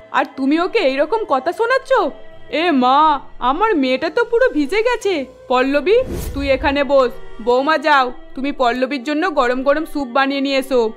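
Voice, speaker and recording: Woman playing bossy, high-voiced nagging mother-in-law. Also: normal speed, faint music behind, lightly acted. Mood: scolding, fussing. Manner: nagging, commanding. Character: bossy, scolding middle-aged Bengali mother-in-law, doting on daughter. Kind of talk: cartoon voice acting.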